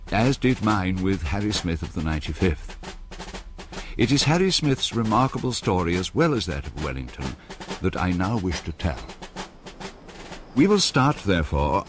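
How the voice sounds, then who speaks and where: Deep-voiced veteran officer narrating. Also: male voice, slight background noise. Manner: measured, genteel storytelling. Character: reflective old-soldier narrator recalling Wellington's army. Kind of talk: scripted radio drama narration.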